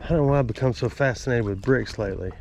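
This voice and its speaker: A man's voice: medium pitch, racing, gravelly, darkish tone, a little hiss or hum. A man with a Southern accent and a stuffed-up nose, narrating casually and rambling in a folksy, self-amused way while wading through a creek. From Alabama. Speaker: creek explorer vlogger. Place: outdoors wading in a city creek.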